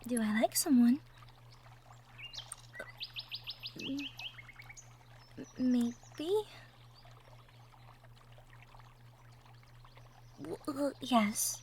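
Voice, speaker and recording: A woman playing a shy, sweet young cottagecore woman in love, speaking softly and hesitantly in an intimate roleplay audio drama. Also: on the high side, slow. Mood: flustered, bashful. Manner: hesitant, bashful, soft-spoken, trailing off. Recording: faint background noise, birdsong effects. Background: birdsong, outdoor nature sound effects.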